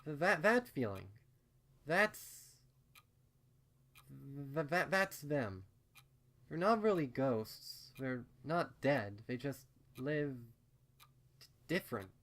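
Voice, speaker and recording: A man voicing an earnest, anxious female pony in a storybook reading, with halting, stammering, insistent delivery. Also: a little high, very animated, a bit bright, exaggerated voice. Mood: uneasy, insistent. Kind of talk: acted storybook dialogue in a fan fiction reading.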